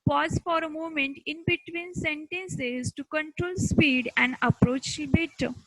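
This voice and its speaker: Female instructor, Indian accent, slow and deliberate scripted lesson read, close dry sound. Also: high voice, steady tone, fairly bright tone, no echo, low-quality recording. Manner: deliberate, halting phrasing in short chunks.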